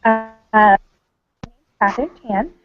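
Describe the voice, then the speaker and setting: A woman from Michigan introducing herself as a fellow on a video call, in a halting way. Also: a little high, faint music behind. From Michigan, USA. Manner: halting, uneven pace. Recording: tinny phone-like call line.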